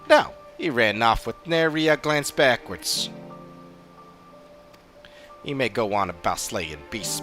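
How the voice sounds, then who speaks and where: A man's voice: on the low side, natural voice. Man playing a scornful, cynical fantasy villager. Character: scornful experienced villager, cynical about heroes. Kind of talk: scripted game dialogue reading.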